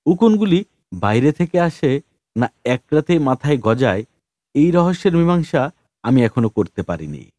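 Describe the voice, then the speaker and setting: A man narrates an audiobook story. His mellow voice moves through a lively range of pitch. The sound is dry, with very little room around it. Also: no room sound.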